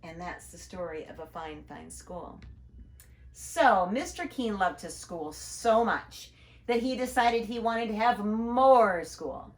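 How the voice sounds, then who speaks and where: A woman teacher reading a children's storybook aloud with expressive, deliberate emphasis and chatty asides. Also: medium pitch, reverberant.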